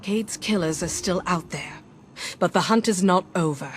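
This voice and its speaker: A woman's voice: fairly low pitch, moderate pace, a little hiss or hum, close to an everyday voice. A woman playing a resolute, secretive ally in a video game, speaking seriously and with purpose. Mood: grave, determined. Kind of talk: dramatic video game character acting.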